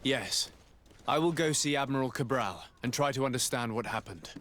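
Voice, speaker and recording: An actor playing an earnest, courteous diplomat in a video game, speaking in a polite, formal and measured way. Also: male voice, mid-range voice, fairly bright tone, a little hiss or hum, natural voice. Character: courteous, earnest male diplomat, composed investigator. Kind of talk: dramatic video game dialogue.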